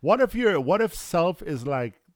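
Animated man joking on a podcast. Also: fairly high pitch, a little slow, no room sound, clean studio sound. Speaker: podcast co-host. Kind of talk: casual banter among friends.

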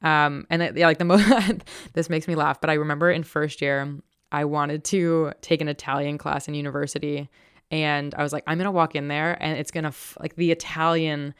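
A woman's voice: sits low, steady tone, normal speed, clear, resonant tone, no echo, studio quality. A young adult woman chatting casually and candidly, poking fun at herself with plenty of fillers. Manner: candid, self-deprecating, lots of fillers. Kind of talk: casual chat.

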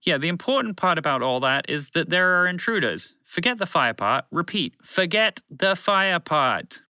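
A man's voice: a little high, big pitch swings, brisk, sharp tone, no echo, pitched above the performer's own voice, exaggerated voice. A man voicing a bumbling, flustered alien announcer who rambles and corrects himself in a children's story. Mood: blustering warning. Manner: hesitant, rambling, self-correcting.

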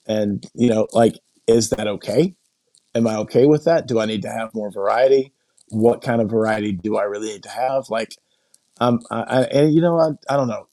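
A middle-aged man talking casually and reflectively as a podcast guest, thinking aloud in a halting way and repeating phrases. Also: mid-range voice, a little mellow, no echo. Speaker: podcast guest, coaching client. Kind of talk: casual reflective interview talk.

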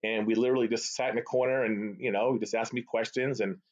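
A man's voice: on the low side, fast talker. A middle-aged man from the Boston area with a Boston accent, Arabic his first language, chatting as a guest in an interview and often tagging on "right?". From Boston area, USA. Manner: animated, chatty, tag questions like "right?". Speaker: guest, sales executive. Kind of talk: casual storytelling interview. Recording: slightly dull lo-fi call audio.

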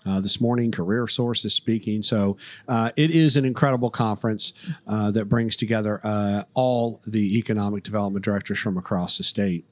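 A man's voice: on the low side, brisk, dry recording. A man hosting a business podcast, talking in a chatty way with many fillers. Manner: chatty, lots of uh fillers, bursts of speed. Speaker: podcast host. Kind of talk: casual interview.

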